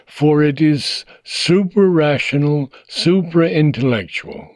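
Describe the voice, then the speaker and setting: A man reading a philosophical text aloud in slow, deliberate phrases, often trailing off softly at the ends of lines. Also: medium pitch, no echo. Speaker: reader.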